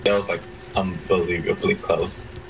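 A very low male voice, worn out from a long day, chats loosely with a friend during a co-op game. He answers in short, relaxed bits, and a faint hiss runs under the voice chat. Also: moderate pace, rough recording. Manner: laid-back, tired, short replies. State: tired. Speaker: co-op gaming partner. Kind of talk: casual voice chat while gaming.

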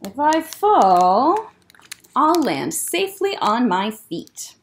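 A woman voicing a small, plucky, boastful male kitten in a children's picture book, with dramatic drawn-out delivery. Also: on the high side, sing-song, slight background noise, exaggerated voice. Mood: proud.

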